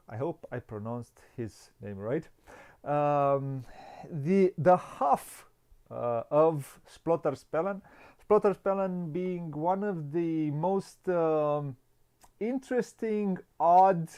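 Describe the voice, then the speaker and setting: Host, male, slightly high voice, hesitant delivery with frequent pauses and restarts. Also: warm tone. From Romania. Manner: hesitant, many fillers and restarts. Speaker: interview host. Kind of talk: casual video interview.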